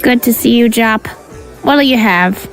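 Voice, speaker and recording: An actress playing a gruff but friendly female insectoid bartender with blunt, teasing banter. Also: fairly high pitch, measured pace, faint music behind, natural voice.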